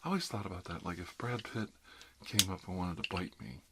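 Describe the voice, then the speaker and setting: Low-pitched man in rambling ASMR chat. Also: fairly quick, heavy background noise. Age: middle-aged. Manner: rambling, conversational, jokey, frequent "you know". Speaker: ASMR performer playing an emergency dentist. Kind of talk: ASMR roleplay, rambling one-sided casual chat.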